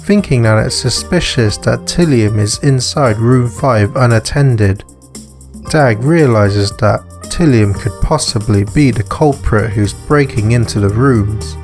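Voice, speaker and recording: Slow-paced male story narrator. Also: mid-range voice, a little mellow, faint music behind. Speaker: narrator and author. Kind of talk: scripted visual novel narration.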